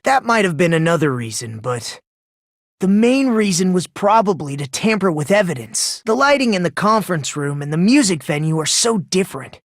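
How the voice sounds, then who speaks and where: An actor voicing a polite, self-loathing, eerily excitable teenage boy in an English video game, musing softly and prone to breathless gushing. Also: mid-range voice, no room sound, clean studio sound.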